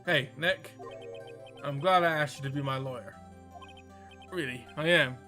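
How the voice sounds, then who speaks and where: A man's voice: a little high, measured pace, pushed and bright, faint music behind. Man reading goofy, breezy young guy. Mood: grateful. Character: goofy, blustery young man, lawyer's old friend. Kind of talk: visual novel read-aloud.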